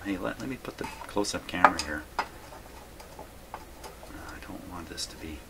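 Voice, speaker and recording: Low-voiced man musing aloud at his workbench. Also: noisy background. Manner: rambling, musing aloud to himself. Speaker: host of a radio repair video. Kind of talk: casual hands-on workbench narration. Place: indoor home workshop.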